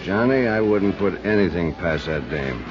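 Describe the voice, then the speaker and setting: An actor playing a world-weary, bitter man who speaks slowly in a 1950s radio drama, on an old recording. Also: sits low, lively intonation. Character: bitter lovesick man, cynical and brooding. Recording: lo-fi vintage radio with music.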